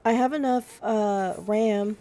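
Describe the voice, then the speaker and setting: A woman streaming live, chatting casually and gushing, her voice a little hoarse, with frequent restarts. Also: mid-range voice, slow, mellow. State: slightly hoarse.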